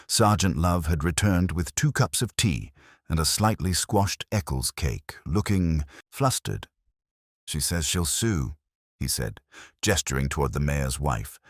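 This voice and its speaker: A man narrating a scripted mystery story in a measured, wry storytelling manner. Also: rumbling low, no room sound, studio quality.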